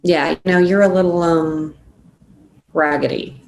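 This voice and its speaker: Actress as teasing young woman roommate, frank, lowish voice, table read drama, slight background noise. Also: fairly even intonation, measured pace, vocal fry, darkish tone, rough recording. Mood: playful, teasing.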